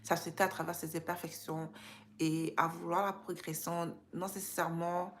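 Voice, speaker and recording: Low-voiced Québécois woman chatting. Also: flat delivery, moderate pace, a little hiss or hum. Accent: Quebec French with some Creole and English influence. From Quebec, Canada. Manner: informal, peppers French with English slang and tag phrases. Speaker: self-care live stream host. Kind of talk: casual motivational live chat.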